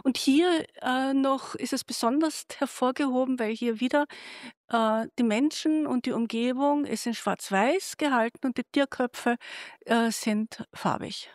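A woman's voice: sits high, a little slow, no room sound, studio quality. A woman leading an interview with an artist, asking curious questions and steering the talk from one picture to the next. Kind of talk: gallery interview.

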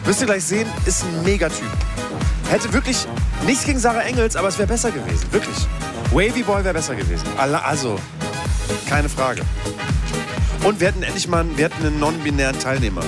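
Joking, animated man with a head cold. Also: a little high, fast talker. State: slight head cold, runny nose. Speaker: livestream co-host. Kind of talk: casual commentary on a live broadcast. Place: commentary booth in a large hall. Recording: loud music behind.

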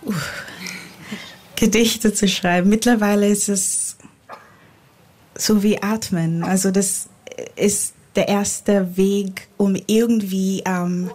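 A woman's voice: mid-range voice, fairly even intonation, takes it slowly, long pauses, darkish tone. A young woman, answering reflectively as a guest poet in a live interview. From born in Nigeria, grew up in Graz, Austria.

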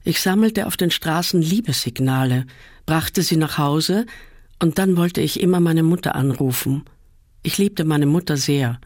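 A man reading a first-person literary essay aloud in a measured, calm way, often slowing down. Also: on the high side, dry recording, clean studio sound. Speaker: reader.

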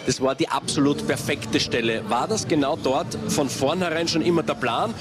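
A man's voice: a little high, normal speed, over music. Man asking interview questions outdoors. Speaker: sports reporter. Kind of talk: on-site interview.

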